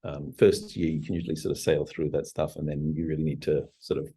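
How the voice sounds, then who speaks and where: A man in his forties talks through his experience as a founder. His voice is husky and low. He pauses often, piling up fillers and restarting sentences as he reflects. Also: flat delivery, mellow. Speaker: startup CEO guest. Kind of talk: interview answers.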